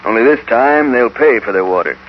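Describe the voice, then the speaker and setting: A man's voice: mid-range voice, lively intonation, brisk, lightly acted. An American man acting a curt, arrogant, scheming land developer in a 1950s radio western. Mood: smug. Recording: muffled, noisy old radio broadcast.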